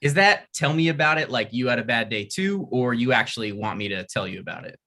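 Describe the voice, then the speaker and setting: A man's voice: medium pitch, lively intonation, a little fast, sharp tone. A man reads a script at a table read. He sounds hesitant and self-deprecating, stumbling and restarting his sentences.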